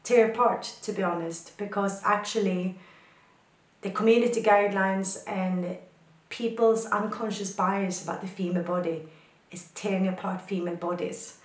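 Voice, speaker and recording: A woman's voice: mid-range voice, steady tone, moderate pace, roomy sound. A woman from Sweden, a photographer, answering questions in a reflective personal talk with thoughtful, poetic phrasing.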